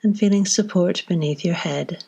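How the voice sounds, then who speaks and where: A woman leading a guided body scan meditation, giving slow, gentle instructions with long pauses between phrases. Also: fairly low pitch, vocal fry, husky, low-quality recording.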